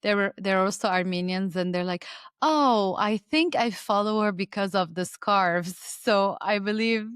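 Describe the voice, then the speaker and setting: A woman host with a smooth, clear voice leads a casual interview and keeps it upbeat with quick affirmations. The sound is close and dry, with no room around it. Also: mid-range voice, no room sound. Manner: upbeat, encouraging, lots of affirmations. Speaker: podcast host.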